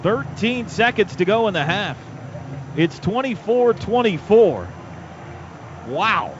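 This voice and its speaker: An American man calling live football play by play on radio, in clipped phrases that rise to shouts on big plays. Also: high voice, big pitch swings, slow. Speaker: play-by-play announcer. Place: stadium radio booth. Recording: dull radio broadcast, loud stadium noise behind.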